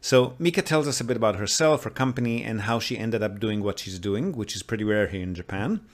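A man hosting a casual video call interview, chatty and somewhat halting, often repeating the starts of his words. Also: lowish voice, no echo. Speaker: host of a real estate show.

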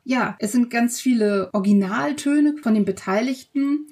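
A woman's voice: fairly high pitch, normal speed, darkish tone, husky. A woman hosting a podcast, introducing and interviewing in a conversational style. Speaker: podcast host interviewing guests.

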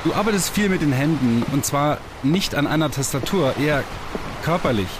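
A man's voice: fairly high pitch, lively intonation, moderate pace, noisy background, lightly acted. A man playing an awkward, nervous young stage mentalist in a science-fiction radio play, stammering and hesitant. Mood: flustered. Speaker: voice actor in an audio drama.

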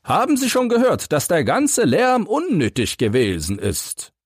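A man voicing a brisk, self-assured military major in an audiobook. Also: sits high, huge pitch swings, husky, no echo, studio quality, pitched above the performer's own voice, heavily acted. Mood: brisk, smug. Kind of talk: audiobook character reading.

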